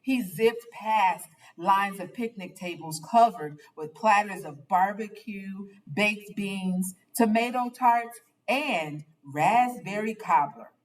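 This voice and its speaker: A woman reading a children's picture book aloud with expressive, dramatic and deliberate phrasing. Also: mid-range voice, distant mic, rough recording.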